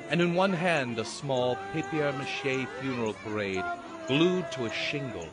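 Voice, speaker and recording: A man plays a lyrical storyteller in an audiobook drama. His medium-pitched voice is measured and theatrical, with music clearly playing behind the narration. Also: close to an everyday voice. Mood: solemn, lyrical.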